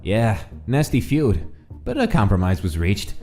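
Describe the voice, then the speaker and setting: A man's voice: lowish voice, wildly swooping pitch, unhurried, mellow, soft background music. A man voicing a tough gangster from the mafia underworld in a comic dub. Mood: matter-of-fact. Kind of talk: comic dub character acting.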